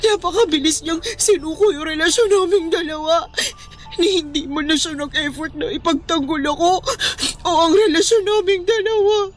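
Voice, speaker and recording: A woman's voice: very high voice, moderate pace, soft background music. A woman playing a heartbroken young woman in a Filipino radio drama, pleading tearfully and whining about her breakup. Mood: tearful, anguished.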